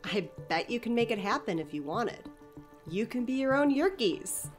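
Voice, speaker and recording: A woman's voice: sing-song, moderate pace, soft background music. A woman playing a chatty, enthusiastic community center director in an audio drama, talking expansively and persuasively. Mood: eager, persuasive. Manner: expansive, persuasive, eager to pitch ideas.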